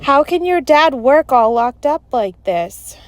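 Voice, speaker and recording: A woman's voice: high-pitched, unhurried, gravelly, a little mellow, no echo, pitched above the performer's own voice, heavily acted. A woman voicing an outspoken, worried schoolgirl in a storybook, urgent and blurting. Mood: anxious. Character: outspoken, worried schoolgirl defending her friends.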